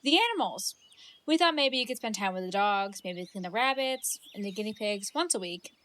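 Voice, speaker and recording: A woman's voice: mid-range voice, expressive, normal speed, a bit bright, slight background noise, natural voice. A woman playing a friendly, coaxing young girl in an audio drama.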